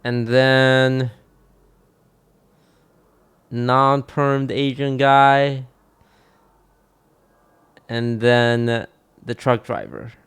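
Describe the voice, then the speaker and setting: A man's voice: mid-range voice, very slow, long pauses, fairly bright tone, clean tone. A man streaming, reacting to a video with dry, blunt, teasing remarks. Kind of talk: casual live-stream commentary.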